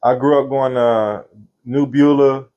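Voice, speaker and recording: A man of fifty from Florida, telling stories from his life in a relaxed interview. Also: medium pitch, measured pace, lo-fi sound. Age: 50s. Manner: relaxed, laughing, Southern slang. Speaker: interview guest, singer. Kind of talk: casual storytelling interview.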